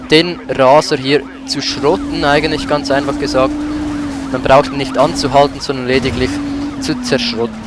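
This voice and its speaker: A man giving casual commentary as he plays a video game. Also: medium pitch. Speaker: let's play gamer. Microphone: voice-over mic over game audio. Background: racing game car sounds and music.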